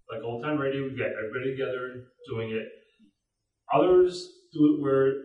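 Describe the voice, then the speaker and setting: A man in the audience casually asking the panel a question. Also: mid-range voice. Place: public library panel room. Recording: dull, echoey, far from mic.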